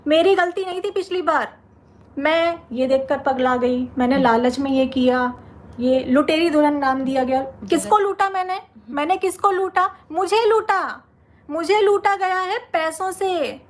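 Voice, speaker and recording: A woman's voice: high-pitched, measured pace. A woman vlogger speaking confrontationally to her social media audience, indignant and combative, hammering points through repetition.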